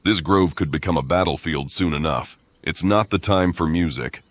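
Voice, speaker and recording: An actor playing a stoic, cautious adventurer in a fantasy game, terse and serious. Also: male voice, low-pitched, moderate pace, no room sound. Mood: resolute. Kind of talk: video game voice acting. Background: background music.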